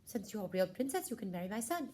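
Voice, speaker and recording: A woman voicing a polite, brisk, insistent queen and mother in a children's puppet show. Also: medium pitch, sing-song, mellow, lightly acted.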